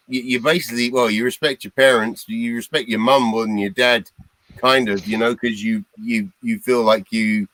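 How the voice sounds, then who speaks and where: A man's voice: medium pitch, a bit bright, dry recording, rough recording. A man in his thirties from Britain speaking bluntly and swearing in a casual chat.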